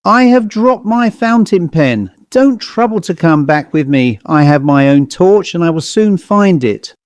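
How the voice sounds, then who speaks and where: A man reading a storybook, voicing an excitable, reckless English adventurer in an emphatic, eager manner. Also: on the high side, unhurried, dry recording, pitched above the performer's own voice. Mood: casual.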